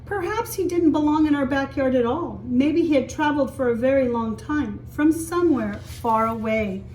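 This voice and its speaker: A woman reading a picture book aloud as a story time teacher, expressively, pausing to explain and ask young children simple questions. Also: high-pitched, darkish tone. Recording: echoey room, distant mic, faint hiss.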